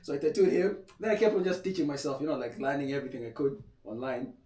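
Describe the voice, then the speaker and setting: A young man from Kenya, a tattoo artist, chatting casually on a podcast in a relaxed, slangy way. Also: a little high, quick pace, recorded from a distance. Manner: laid-back, slangy, laughs often. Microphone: podcast mic set back. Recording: echoey room, voice off-mic.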